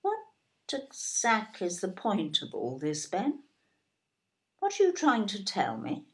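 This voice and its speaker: An actress playing a fussing, incredulous older British wife in an audio play, fretful and full of exclamation. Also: female voice, medium pitch, huge pitch swings, a little slow. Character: fussing, indignant older wife, doubting her husband.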